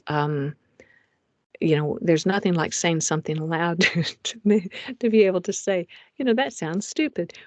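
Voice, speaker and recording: A woman's voice: low voice, dry recording. A middle-aged woman, a romance author, presenting her publishing plans over slides in a chatty way, with frequent fillers and restarts, recorded in a home recording booth.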